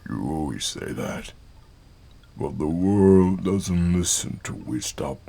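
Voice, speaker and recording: A defiant, power-hungry young warrior for an audio drama. The voice is low and heavily gravelled, dragging bitterly through the words with big swings in pitch. A faint noise sits on the recording. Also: male voice, expressive, takes it slowly, warm tone, full character voice.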